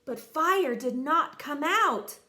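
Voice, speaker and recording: A woman reading a children's story expressively, with theatrical delivery and dramatic pauses. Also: sits high, animated, sharp tone. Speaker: storybook reader.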